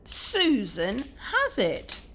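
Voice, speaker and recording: A woman playing a gruff, sharp-tongued housekeeper in an audio drama, blunt and snappish. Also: a little high, huge pitch swings, drawn-out words, a little hiss or hum, full character voice. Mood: brusque.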